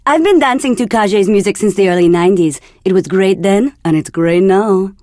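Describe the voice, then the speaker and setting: A woman's voice: medium pitch, normal speed, a little mellow, no room sound, studio quality, lightly acted. An actress playing a flirty, confident woman radio DJ in a video game, with a seductive, teasing delivery. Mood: flirty, upbeat. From Argentina. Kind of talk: scripted game radio DJ patter.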